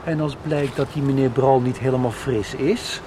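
A man acting as an earnest, middle-aged company director with a mid-pitched, hesitant way of talking. He sounds like a wistful radio soap character. Clear outdoor noise sits behind him. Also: fairly even intonation, normal speed, lightly acted. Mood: probing, grave. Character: earnest, wistful factory director from a farm family.

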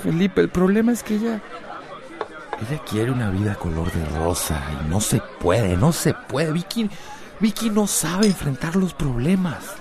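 An actor with a Costa Rican accent playing a stubborn, self-pitying drunken husband in a radio drama. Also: male voice, a little high, huge pitch swings, measured pace, heavily acted. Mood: aggrieved, drunk. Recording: busy crowd ambience behind voice. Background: crowd chatter.

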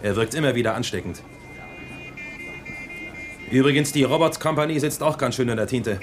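An actor playing an anxious, loyal aide in a radio play, talking fast about trouble outside. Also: male voice, medium pitch, music in the background, lightly acted. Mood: worried. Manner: anxious, talkative. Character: worried, loyal male aide. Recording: crowd murmur behind.

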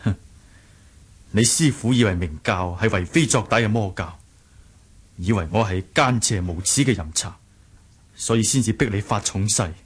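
Earnest young hero, low husky voice. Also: male voice, moderate pace, pauses often. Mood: earnest, resolute. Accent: Hong Kong Cantonese. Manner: earnest, emphatic pleading. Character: earnest young martial-arts hero, devoted but defensive fiancé. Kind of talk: Cantonese radio drama acting.

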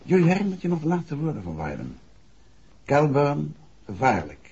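An actor playing an assured detective husband explaining a case in a 1960s Dutch radio drama. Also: male voice, on the high side, wildly swooping pitch, normal speed, creaky, a little mellow, breathy edge, exaggerated voice. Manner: expository, assured, conversational. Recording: muffled, dull 1960s radio recording.

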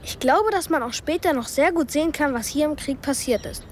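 A woman's voice: high voice, animated, a little fast, a little mellow, slight background noise. A woman playing a precocious, earnest young boy in a radio drama, explaining things in a self-assured, matter-of-fact way. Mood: curious, certain.

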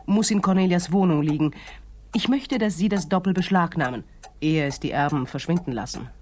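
An actress playing an impatient, distrustful woman client in a radio play, curt and brisk. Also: on the low side, a little mellow, close to an everyday voice. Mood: demanding.

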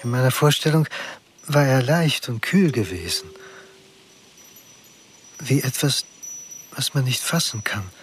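An actor as a slow, remorseful middle-aged father. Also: medium pitch, animated, slight background noise, close to an everyday voice. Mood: subdued, remorseful. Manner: halting, measured, reflective, short phrases. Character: remorseful, subdued middle-aged Japanese salaryman, grieving father recalling his son. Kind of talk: radio drama monologue, introspective narration.

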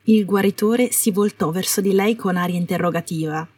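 Husky woman narrating a story. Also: mid-range voice, moderate pace, darkish tone. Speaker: podfic narrator. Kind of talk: scripted dramatic story narration. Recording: roomy, distant microphone.

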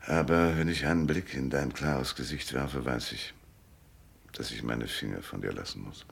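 An actor in a German radio drama playing a world-weary, cynical private detective with a laconic, knowing manner and a deep, gravelly voice. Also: male voice, moderate pace, heavily acted. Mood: tender, resigned.

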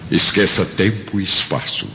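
A Brazilian man narrating a radio drama in a solemn, theatrical way, with weighty pauses. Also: low-pitched, noisy background. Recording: dull lo-fi, long hall reverb. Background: prominent background music.

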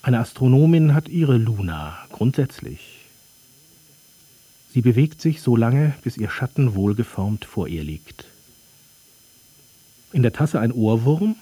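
An actor playing a brooding, sardonic narrator in a literary radio play, speaking in terse, dry, deliberate fragments. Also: male voice, mid-range voice, big pitch swings, warm tone, breathy edge, no room sound, full character voice. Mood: wry, observing.

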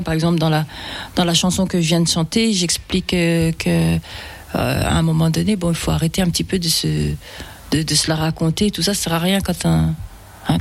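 Singer lady, fula is her first language, gravelly, stops and restarts a lot. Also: on the low side. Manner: hesitant, many restarts and repeated words. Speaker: singer guest. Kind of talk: casual interview chat.

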